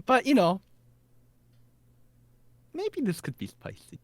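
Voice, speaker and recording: Man, native Korean, lively swooping voice, stammering repeats, dry close sound. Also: very high voice, slow, no room sound. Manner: animated, stammers and repeats words. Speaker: podcast co-host. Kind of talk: casual chat.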